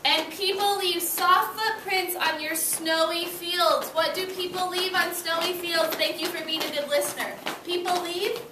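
High-pitched young woman teacher, distant mic. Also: measured pace, few pauses. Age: young adult. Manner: encouraging, expressive, teacherly phrasing. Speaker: elementary school teacher. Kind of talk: interactive read-aloud to young children. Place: classroom with children seated on the floor. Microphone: far camera. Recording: roomy, noisy classroom, distant microphone.

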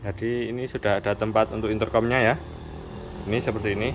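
Guy doing a casual how-to, deepish voice, repeats himself as he shows each step, noisy hum in the room. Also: flat delivery, fast talker. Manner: informal, repetitive step-by-step explaining. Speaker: motorcycle accessory shop presenter. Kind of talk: casual hands-on tutorial narration. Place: indoors at a wooden workbench.

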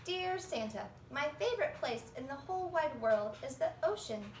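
An eager little kid, read by a young woman in a high, swooping voice, earnest and full of questions. It is a storybook read-aloud, recorded from a distance in a roomy space. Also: animated, moderate pace, a little mellow. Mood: eager, curious. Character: eager, polite young children writing letters to Santa. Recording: roomy, distant phone recording with faint noise.

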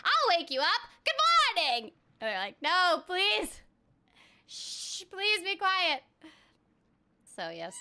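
A woman's voice: very high-pitched, wildly swooping pitch, slow, sharp tone. A young woman chatting casually on a livestream, playful and exuberant, theatrically acting out little quotes. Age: young adult. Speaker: streamer and voice actor. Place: small indoor room, streaming over a game.

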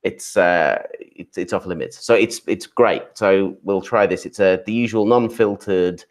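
A man's voice: sits low, measured pace. A man in his thirties wearing headphones, telling a rambling, friendly story on a video call from home. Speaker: co-host. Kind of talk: casual chat on a video call. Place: room at home.

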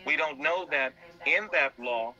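Male interview guest, very bright forceful voice, earnest and halting, on a dull lo-fi feed. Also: on the high side, soft background music. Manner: halting, repeats words. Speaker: interview guest, business founder. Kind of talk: earnest explanatory interview talk.

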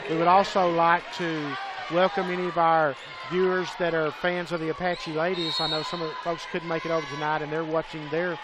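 Male play-by-play announcer, excitable, fairly high voice, shouting on big plays, loud crowd and gym noise behind. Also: fairly quick, hardly any pauses. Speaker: play-by-play commentator. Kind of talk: college volleyball play-by-play.

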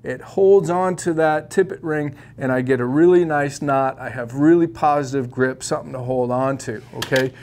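A man's voice: medium pitch, normal speed, hardly any pauses, a little mellow, a little hiss or hum. A middle-aged man giving a casual, opinionated fly fishing tutorial to camera in a shop studio, with plenty of ums and uhs, on a lapel mic.

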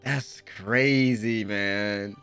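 A young American man giving excited, slangy reaction commentary at home on a close microphone, with an exclamatory manner. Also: on the low side, very slow, smooth, faint music behind. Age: young adult. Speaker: reaction video host. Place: small room at home. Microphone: close desk microphone with headphones.

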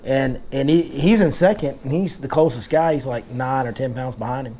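A man, hosting a casual fishing seminar and talking in animated bursts in a tackle shop. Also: medium pitch, noisy background. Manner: animated, gestures a lot, fast bursts. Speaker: fishing guide and seminar host. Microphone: lapel mic.

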